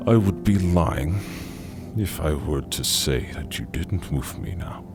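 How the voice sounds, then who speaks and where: An actor playing a suave, sinister cannibal gentleman in a horror audio drama, gravelly, theatrical and mockingly polite, savouring each cruel word. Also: extremely low pitch, expressive, a little slow, music in the background. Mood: softened, smug. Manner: theatrical, mock-polite, savouring his words.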